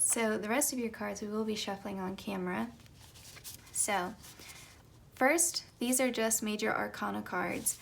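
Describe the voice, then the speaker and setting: A young woman doing a casual tarot reading. She has just woken up and her voice is slightly raspy, and she talks in a chatty way with soft whispered asides. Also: mid-range voice. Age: young adult. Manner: chatty, light laughs, soft whispered card calls. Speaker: tarot reader.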